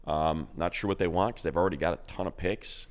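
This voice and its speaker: A man with a very deep, smooth, clear voice talks football in a loose, casual way. He restarts sentences and trips over his words as he thinks aloud, in a relaxed way. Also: fast. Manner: rambling, many restarts and repeated words. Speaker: radio play-by-play announcer and podcast co-host. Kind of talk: casual sports chat.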